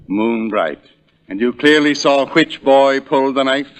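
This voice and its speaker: A man's voice: mid-range voice, measured pace, natural voice, low-quality recording. A man in a 1940s radio drama playing a formal, emphatic, probing prosecutor in a courtroom. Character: forceful, formal male courtroom prosecutor, pointed and theatrical.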